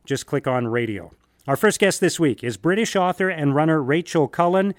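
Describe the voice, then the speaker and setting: A man's voice: fairly high pitch, lively intonation, normal speed, no room sound, clean studio sound. Upbeat man hosting a radio show. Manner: upbeat, chatty, talks in long run-on sentences.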